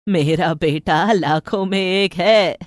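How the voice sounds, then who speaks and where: A woman voicing an older, traditional village mother in an animated moral story, opinionated yet loving, with a firm, homely manner. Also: lowish voice, slow, dry recording. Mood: proud, loving.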